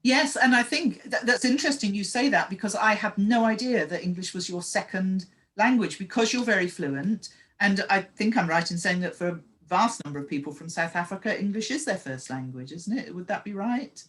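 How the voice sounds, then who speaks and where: A woman's voice: mid-range voice, fairly quick, fairly bright tone. A woman hosting an interview as a story coach, asking probing questions with frequent hesitant fillers. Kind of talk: casual interview chat.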